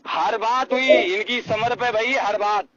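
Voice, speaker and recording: A man's voice: sits high, slow. A man with a rural North Indian Hindi accent, speaking insistently and repeating himself as he airs a personal grievance over the phone. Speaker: phone caller. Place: recorded phone call. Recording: muffled phone call with hiss and clicks.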